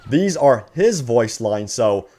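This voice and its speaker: A man's voice: mid-range voice, sing-song, slow pace, dark tone. A man hosting a gaming news video in an upbeat, enthusiastic fan commentary style, stretching words for emphasis and addressing his viewers directly.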